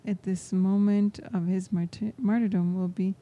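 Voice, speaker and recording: A woman giving a live spoken translation of a homily as an interpreter, in halting phrases. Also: mid-range voice, steady tone, lo-fi sound. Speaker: interpreter voicing a priest's homily in English.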